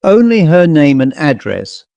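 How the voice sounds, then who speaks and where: A man voicing a nervous, stiffly polite gentleman visitor in a storybook, speaking hesitantly. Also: a little high, sing-song, a little slow, gravelly, no echo, heavily acted.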